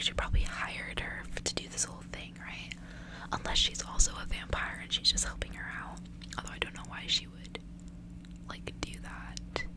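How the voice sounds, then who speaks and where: A woman whispering close to the mic in a breathy, unvoiced ASMR style, unhurried and informal as a host. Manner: casual, rambling, frequent filler "like". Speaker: ASMR host reading a book aloud. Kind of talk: whispered ASMR reading and casual chat. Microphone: close ASMR microphone.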